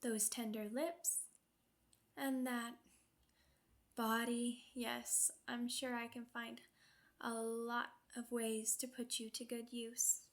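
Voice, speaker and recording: A woman's voice: on the high side, flat delivery, slow pace. A woman playing a sadistic, seductive vampire queen in an ASMR roleplay, with a purring, condescending, theatrical delivery. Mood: seductive, predatory. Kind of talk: ASMR roleplay, scripted villain monologue.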